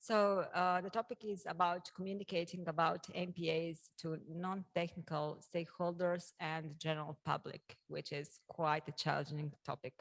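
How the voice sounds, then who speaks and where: A woman with a Croatian accent and a slightly low voice introduces a conference session as its moderator. She addresses the audience in a hesitant way, pausing often as she goes. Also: level tone.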